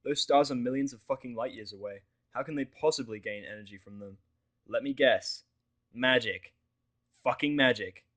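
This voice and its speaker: A man's voice: medium pitch, normal speed, a bit bright, close to an everyday voice. Casting: male narrator as sarcastic, moody human man, medium register, clipped and stubborn, for audio fiction. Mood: sarcastic, incredulous. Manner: curt, sardonic, swearing. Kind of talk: fan fiction audio story character voice.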